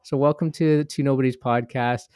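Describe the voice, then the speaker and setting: A man's voice: mid-range voice, steady tone, a little fast, a little mellow, clean tone, dry recording, studio quality. A man hosting a podcast, speaking in an informal, conversational way. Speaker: podcast host. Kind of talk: podcast intro and casual interview chat.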